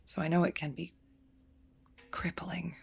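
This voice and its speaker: An actress playing a grave, knowing guesthouse landlady in an audio drama, speaking in a hushed, earnest, ominous manner. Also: on the low side, level tone, measured pace, gravelly, slight background noise. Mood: sympathetic.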